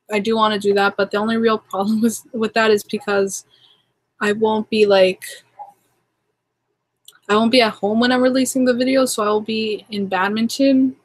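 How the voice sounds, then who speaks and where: A woman's voice: medium pitch, no room sound, rough recording. A young woman from Toronto with a Canadian accent, casually chatting on a livestream, rambling with lots of fillers and restarts. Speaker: livestream host.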